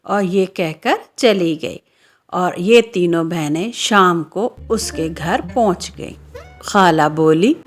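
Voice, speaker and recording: A woman reads a children's story as narrator. Her voice sits a little low, and she takes her time at a very slow, measured pace.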